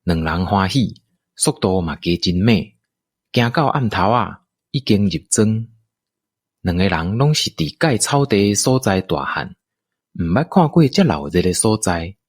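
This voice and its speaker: A male narrator tells a radio-play story in a Taiwanese accent. His low, slightly husky voice moves in lively swings of pitch, close and dry. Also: dry recording. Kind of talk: scripted radio drama storytelling.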